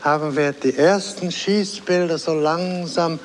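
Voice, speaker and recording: A man, an artist, reminiscing spontaneously in an interview, halting and searching for his words. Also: a little high, steady tone, a little hiss or hum. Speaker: artist recalling a collaborator.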